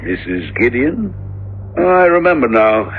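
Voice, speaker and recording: An actor playing an anguished, pious middle-aged minister, earnest and dramatic, in a 1970s radio drama. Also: male voice, mid-range voice, very animated, a little slow. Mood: grave. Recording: dull, hissy old radio broadcast recording.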